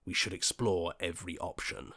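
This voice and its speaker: A man voicing a level-headed, questioning hero in a video game, speaking earnestly in short deliberate lines. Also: deep voice, fairly even intonation, a bit bright. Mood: pondering.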